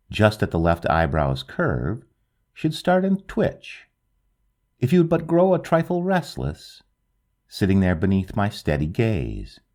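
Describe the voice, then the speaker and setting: A man reading a literary ghost story aloud, slow and hushed, lingering over each phrase. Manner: slow, hushed and lingering, with wide swings of pitch. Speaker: audiobook narrator.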